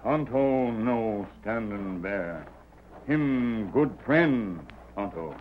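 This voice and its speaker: An actor playing a loyal sidekick in terse, stilted broken English in a 1930s radio western. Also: male voice, lowish voice, lively intonation, drawn-out words. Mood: loyal, friendly. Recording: muffled old radio recording, faint noise.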